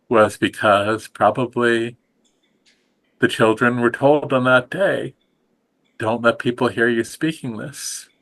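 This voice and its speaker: A middle-aged man speaking slowly and softly in a reflective, contemplative conversation, his voice trailing off at the ends of phrases. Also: on the low side, poor audio. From United States. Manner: slow, soft and contemplative, trailing off quietly. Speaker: guest teacher.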